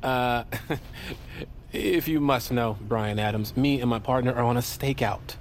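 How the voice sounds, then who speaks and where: An actor with an American accent playing a wry, sarcastic, easily flustered amateur bounty hunter in a comedy audio drama. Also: male voice, mid-range voice, unhurried, a little hiss or hum, close to an everyday voice. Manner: sarcastic, wry, foul-mouthed.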